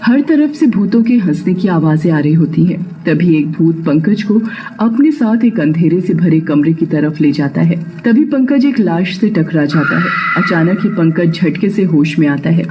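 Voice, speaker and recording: A man's voice: a little high, animated, moderate pace, dark tone, rough recording. A man narrating a scripted horror story in a dramatic, suspenseful storytelling manner. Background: faint music.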